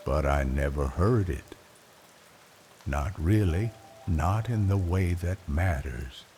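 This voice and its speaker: An older man narrating a bedtime story in a slow, reflective, wistful way, leaving long pauses between phrases. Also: sits low, animated, soft background music. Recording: rain noise behind the voice. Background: soft rain ambience.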